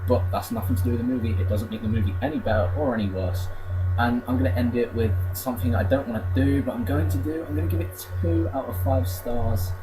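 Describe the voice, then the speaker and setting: A man's voice: mid-range voice, fast talker, rough recording. A man with an English accent giving a casual, rambling movie review in an unenthusiastic, conversational way. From England. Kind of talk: casual spoken review to camera. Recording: music playing behind the voice. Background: music.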